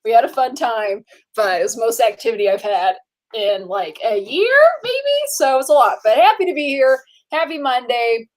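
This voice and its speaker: A woman's voice: on the high side, animated, moderate pace. A woman hosting a casual movie podcast, chatty and a little tired, with frequent filler words and laughs. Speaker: podcast host. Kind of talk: casual chat.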